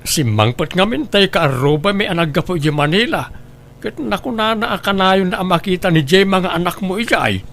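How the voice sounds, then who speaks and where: A man's voice: high-pitched, lively intonation, moderate pace, gravelly, fairly bright tone, slight background noise, full character voice. A man playing a helpful, earnest younger relative in a radio drama, respectful and coaxing. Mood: grave, earnest. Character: helpful, earnest younger kinsman bringing news.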